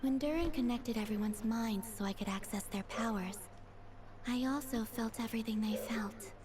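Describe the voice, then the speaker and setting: An actress playing a gentle, reserved mystical young maiden in a video game, speaking softly and earnestly. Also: female voice, a little high, normal speed, close to an everyday voice. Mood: solemn, hesitant. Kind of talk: scripted game voice acting.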